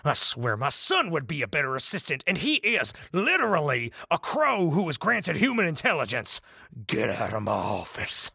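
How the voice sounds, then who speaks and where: A man's voice: on the high side, huge pitch swings, moderate pace, gravelly, pushed and bright, slightly rough, full character voice. A man playing a brash, self-amused wizard boss with a casual, dismissive manner in an audio drama. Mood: dismissive, blustering.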